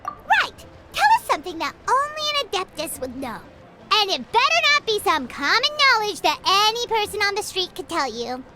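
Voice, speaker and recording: A woman's voice: extremely high pitch, moderate pace, heavy background noise. A woman voicing a small, childlike, cheeky and talkative fairy companion in a video game. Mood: challenging. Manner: refers to self in third person, excitable, teasing. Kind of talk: English video game dub acting. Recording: voice over loud background music.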